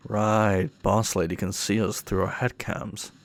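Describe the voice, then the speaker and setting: A man's voice: lowish voice, level tone, unhurried. A man playing a cocky, irreverent ghost hunter in an audio roleplay, with a teasing, offhand manner. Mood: skeptical. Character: cocky, irreverent male ghost hunter showing a rookie around. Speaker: paranormal investigator character.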